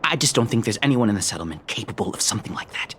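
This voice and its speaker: An actor voicing a nervous, earnest amateur mechanic in a video game, hesitant and stammering. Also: male voice, fairly low pitch, lively intonation, racing, a bit bright, a little hiss or hum. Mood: nervous, worried.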